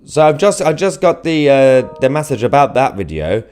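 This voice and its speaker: A man's voice: mid-range voice, sing-song, normal speed, darkish tone, soft background music. A man hosting a live news commentary show, speaking to viewers in a sardonic, mocking and emphatic way. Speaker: host of online political news show.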